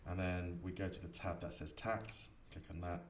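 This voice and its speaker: Deep voiced guy doing a software walkthrough, explains step by step, roomy echo and a bit of background noise. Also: fairly even intonation, normal speed. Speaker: software instructor. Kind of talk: screen-recorded tutorial explanation. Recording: echoey room, distant mic, faint noise.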